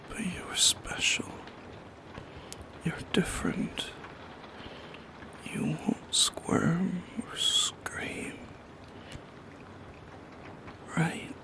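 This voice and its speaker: Man whispering as a slow, shy shadow creature. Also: high voice, exaggerated voice. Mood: shy yearning. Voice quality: breathy whisper with creaky edges. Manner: halting, soft-spoken, intimate, musing. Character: lonely, shy, yearning shadow creature lurking by the bed. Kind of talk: ASMR roleplay monologue.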